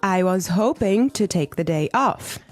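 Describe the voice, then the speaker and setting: A woman co-hosting an English lesson podcast, cheerful and clearly explaining each phrase. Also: medium pitch, expressive, measured pace. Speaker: podcast co-host, English teacher.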